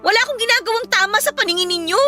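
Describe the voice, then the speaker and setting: A woman's voice: extremely high pitch, very fast, bright, forceful tone, faint music behind. An actress playing a dutiful, hurt young Filipino daughter who pleads respectfully with her mother in a radio drama. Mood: hurt, frustrated. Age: young adult. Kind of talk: emotional radio drama acting.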